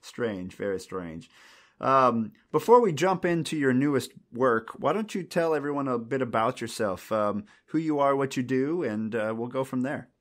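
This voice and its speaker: A man who hosts an interview podcast and teaches at a university, speaking in a measured way and asking plain questions. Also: medium pitch, lively intonation. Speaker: podcast host. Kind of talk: hosting an interview.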